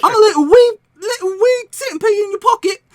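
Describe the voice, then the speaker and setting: Tiny cheeky talking centipede. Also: male voice, fairly quick, no echo. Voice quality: squeaky, small.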